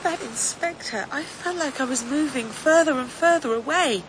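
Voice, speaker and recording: Brief: woman as a shaken, soft-spoken young woman, high voice, ambience behind. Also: normal speed, background noise, lightly acted. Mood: anxious. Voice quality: soft and fragile. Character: fragile, anxious young woman. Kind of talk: radio drama acting.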